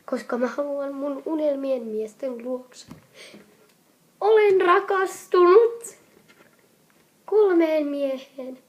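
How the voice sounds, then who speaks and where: A woman voicing an excitable, lovestruck teenage girl in a hobby anime comedy dub, stammering and melodramatic. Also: high-pitched, pauses often, dull audio, lightly acted. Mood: dreamy, yearning.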